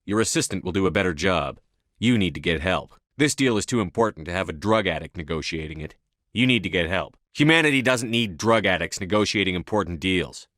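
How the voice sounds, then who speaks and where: A man's voice: sits low, lively intonation, fairly quick, a bit bright, dry recording, clean studio sound. A man playing a resolute, blunt military commander in a science fiction video game, speaking firmly and tersely with authority. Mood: stern, admonishing. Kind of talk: scripted game dialogue.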